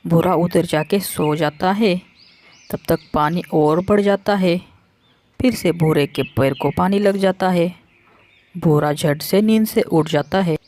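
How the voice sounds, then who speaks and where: A woman reading scripted narration for a children's animated story. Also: fairly low pitch, slow pace.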